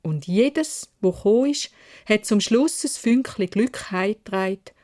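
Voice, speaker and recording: Female storyteller, Swiss German dialect, medium pitch, unhurried and expressive children's fairy-tale read. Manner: measured, expressive.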